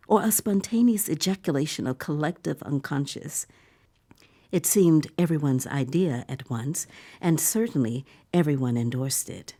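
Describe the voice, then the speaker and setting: A woman acting the uneasy, eerily giddy narrator of a dystopian tale in measured, dramatic, sometimes breathy narration. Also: sits low, dark tone. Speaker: actor narrating a dystopian story.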